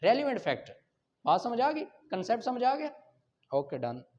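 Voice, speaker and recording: A man's voice: very high voice, sing-song, normal speed, a little mellow. A man with a Pakistani accent teaching in an explanatory lecture style, posing rhetorical questions and checking that students follow. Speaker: law teacher. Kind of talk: explanatory lecture, reading statutes then explaining. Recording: dull lo-fi recording.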